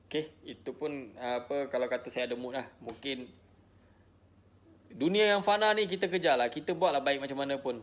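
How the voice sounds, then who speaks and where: A middle-aged Malaysian man speaking informally to his followers in a repetitive, emphatic way, often checking in with short tags. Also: fairly high pitch. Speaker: social media personality addressing followers. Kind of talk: informal live monologue to followers.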